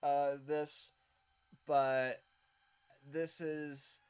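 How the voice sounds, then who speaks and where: A man chatting casually on a livestream as he shows his own project, explaining things in a halting, rambling way. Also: fairly high pitch, flat delivery, darkish tone, smooth. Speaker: streamer showing own visual novel.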